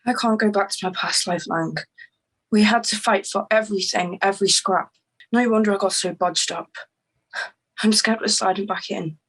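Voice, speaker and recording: An actress plays a guarded, anxious woman who fears the hard life behind her. Her voice is medium in pitch with a husky edge. It is dramatic table-read acting. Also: steady tone, moderate pace, fairly bright tone, rough recording. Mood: anxious, pleading.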